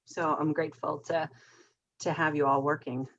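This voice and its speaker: A woman moderating a panel, affirming the speakers and gently guiding the talk to the next guest. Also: low-pitched, little pitch movement, normal speed, muffled recording, a little hiss or hum. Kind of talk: panel discussion.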